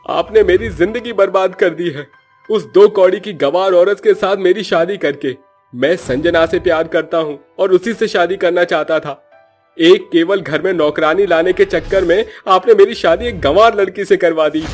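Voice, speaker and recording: A man voicing an angry young husband who accuses his mother in a loud, raised voice. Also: sits high, normal speed, soft background music, voice pushed up.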